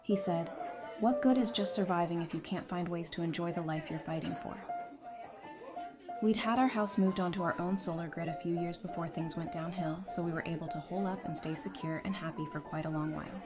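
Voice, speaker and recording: A woman's voice: fairly low pitch, a little fast, music in the background, natural voice. A woman playing a friendly, easygoing survivor in an audio drama, chatty, informal and reassuring. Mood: upbeat casual. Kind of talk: fan audio drama acting, casual dialogue.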